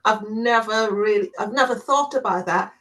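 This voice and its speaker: A woman in an informal conversation answers in an affirming, reflective manner. She often backs her partner up with a soft "mm". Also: medium pitch, moderate pace, crowd noise. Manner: warmly affirming, reflective, listens with frequent "mm"s. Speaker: co-host.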